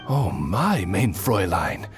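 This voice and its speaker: A man's voice: deep voice, very animated, takes it slowly, soft background music, exaggerated voice. A man voicing a courtly, dryly polite raven familiar in a game, formal and deferential in his speech. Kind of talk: animated game voice acting.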